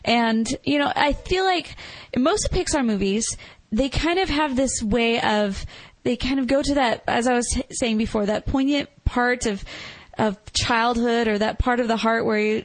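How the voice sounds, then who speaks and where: A woman's voice: on the high side, moderate pace, no echo. A woman co-hosting a podcast, chatting casually in a rambling way peppered with "like" and "you know". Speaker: podcast co-host. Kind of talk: casual conversational film discussion.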